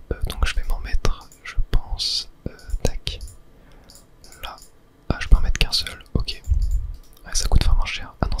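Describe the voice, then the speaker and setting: A person whispering ASMR game commentary, thinking aloud to viewers with hesitant pauses. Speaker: gaming video host.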